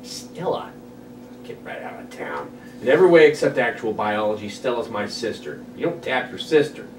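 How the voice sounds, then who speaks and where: A man's voice: mid-range voice, animated, normal speed, natural voice. A man playing a wry guy in droll banter with his buddy at a comedy table read. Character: wry guy bantering about a woman friend. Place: indoor room, group table read. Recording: echoey room, distant mic, clear noise.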